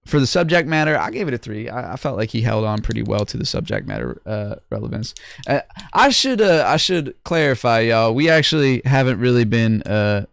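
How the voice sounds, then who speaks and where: A young man with a gravelly, creaky edge rates contest songs in a loose, slangy way, often restarting his sentences. The sound is dry and close, with no room around it. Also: mid-range voice, very animated, fairly quick, no echo. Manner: loose, laid-back slangy talk with many restarts. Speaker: host judging music contest entries. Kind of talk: casual livestream review chat.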